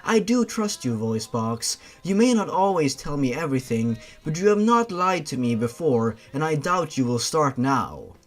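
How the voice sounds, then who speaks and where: A man's voice: on the high side, big pitch swings, normal speed, few pauses. A man voicing a troubled, vulnerable teenage girl in a horror story, speaking earnestly. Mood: trusting, earnest.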